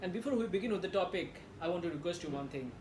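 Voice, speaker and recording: A man from Nepal with a Nepali accent delivers a rehearsed motivational speech in an earnest, emphatic way with deliberate dramatic pauses. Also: sits high, animated, darkish tone. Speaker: public speaking practice presenter. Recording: echoey room with background noise.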